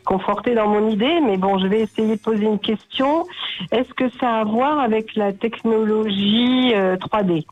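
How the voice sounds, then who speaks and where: A woman's voice: on the high side, normal speed, faint music behind. Woman caller with a cold, muffled line. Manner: friendly, thinking aloud with hesitations. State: has a cold, stuffy voice. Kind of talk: phone-in call to a radio game.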